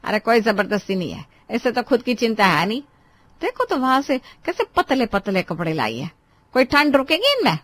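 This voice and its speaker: A woman's voice: a little high, big pitch swings, normal speed, a bit bright, no echo. A woman playing a bossy, scolding middle-aged mother-in-law in a dramatized family story. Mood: mock-annoyed. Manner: scolding, overbearing, theatrical.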